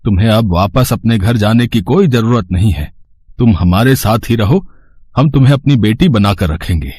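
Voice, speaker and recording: A man voicing a kindly old momo seller in an animated moral story, speaking in a gentle, fatherly way. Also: on the low side, moderate pace, slightly rough. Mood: consoling, tender.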